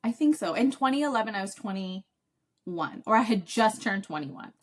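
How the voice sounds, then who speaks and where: A woman in her mid-thirties hosts a podcast in an easygoing, chatty way. She gets animated and laughs easily. Her voice sits at a middle pitch. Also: moderate pace. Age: 30s. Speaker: podcast host. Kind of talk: casual chat.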